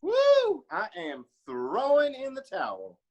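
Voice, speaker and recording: A man's voice: very high-pitched, huge pitch swings, slow, vocal fry, muffled recording, exaggerated voice. Goofy cowboy bar hand, acted by a man, swooping delivery. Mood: goofy, teasing. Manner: jokey, folksy, teasing. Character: Rufus, goofy teasing male cowboy bar worker. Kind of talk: screenplay table read.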